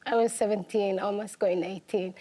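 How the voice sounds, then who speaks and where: A woman's voice: mid-range voice, steady tone, moderate pace, mellow. A young woman from Somalia, speaking earnestly as an interview guest. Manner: earnest, simple phrasing, laughs easily. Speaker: guest, activist. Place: television studio.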